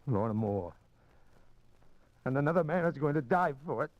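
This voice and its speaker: An American actor playing an ardent, guilt-ridden lover in a 1950s radio drama, speaking in an urgent, halting and earnest way. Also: male voice, fairly high pitch, expressive, natural voice. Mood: anguished confession. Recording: dull, noisy 1950s radio recording.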